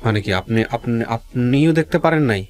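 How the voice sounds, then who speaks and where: A man's voice: medium pitch, expressive, normal speed, no echo. A man with a Bangladeshi accent hosting a radio interview, prompting his guest with short questions and acknowledgments. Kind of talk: conversational interviewing.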